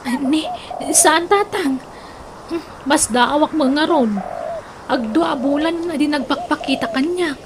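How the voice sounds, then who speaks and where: A determined young Ilocano daughter acted by a woman, high-pitched and earnest. She speaks insistently in a radio drama, with clear noise on the recording. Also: unhurried, long pauses. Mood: defiant. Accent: Filipino, Ilocano. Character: determined young daughter eager to marry her boyfriend.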